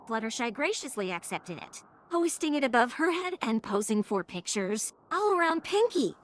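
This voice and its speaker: A synthetic woman narrator reads a scripted story. The voice sits on the high side, and faint noise runs behind it. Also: a bit bright. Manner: synthetic text-to-speech reading.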